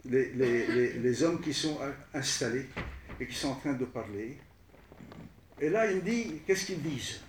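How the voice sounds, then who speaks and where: A man whose first language is Arabic, recounting his film work to an audience in a halting way, repeating words as he restarts. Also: mid-range voice, animated, recorded from a distance, a little hiss or hum. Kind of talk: spoken recollection to an audience. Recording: echoey room, voice from off-mic.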